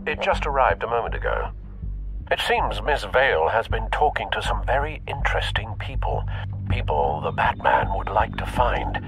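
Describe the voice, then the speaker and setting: An elderly butler in a video game. He is courteous and discreet, breathy, with hugely varied intonation over clear music. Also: lowish voice, very animated, moderate pace, low-quality recording. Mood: measured concern.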